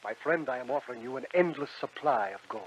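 An actor playing a silky, devilish stranger in a radio drama, coaxing with theatrical, mock-courteous charm. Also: male voice, mid-range voice, expressive, quick pace, husky, dry recording. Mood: tempting. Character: sly, silky devilish stranger who buys shadows. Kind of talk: old-time radio drama acting. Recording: dull vintage radio recording with music.

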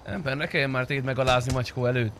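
A man's voice: mid-range voice, a bit bright, a little hiss or hum. A young man streaming a roleplay game, chatting casually with crude, teasing banter and plenty of swearing. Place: indoor room, streaming setup.

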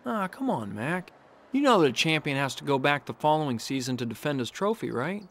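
Lively, cocky young race car. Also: male voice, a little high, fairly quick, slight background noise. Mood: reassuring. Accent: American. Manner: breezy, cocky, easygoing. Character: confident, friendly young cartoon race car.

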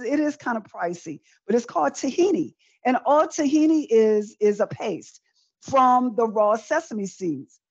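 A middle-aged woman teaches in a conversational, chatty way, circling back to repeat key phrases. Her pitch is average for a woman. The sound is a dull, lo-fi video call with little room echo. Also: a little mellow, breathy edge. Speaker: cooking demonstrator. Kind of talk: live online cooking demonstration, conversational teaching. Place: indoor room with folding screen, demo table.

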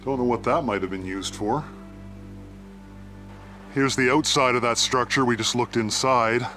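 A man's voice: mid-range voice, a little slow, sharp tone, faint music behind. A man from Canada hosting an exploration vlog, giving casual, laid-back, unscripted commentary as he walks with the camera. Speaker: host of a mine exploration video series. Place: inside a small mine tunnel and outdoors in woods.

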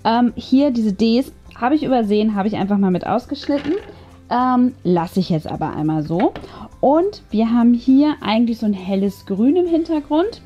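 Woman doing a casual diy craft vlog, slightly high relaxed voice, talks thru each step. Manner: chatty, thinking aloud step by step. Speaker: craft video host. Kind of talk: casual hands-on craft tutorial narration. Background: background music.

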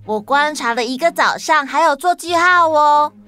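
A woman plays a tiny, headstrong ant child from a storybook, asking questions in a high voice. The little one is assertive and inquisitive. Soft music sits underneath. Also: lively intonation, moderate pace, dry recording. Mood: curious.

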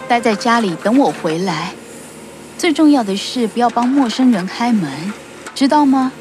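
A woman's voice: a little high, expressive, moderate pace, over music, natural voice. A woman voicing a concerned, caring but firm mother goat in a children's cartoon. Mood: reassuring, firm.

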